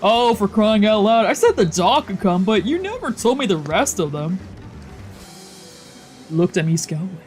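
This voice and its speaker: A woman streamer reading a visual novel aloud with casual, excitable, sweary reactions. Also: medium pitch, moderate pace, faint music behind. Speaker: let's play streamer.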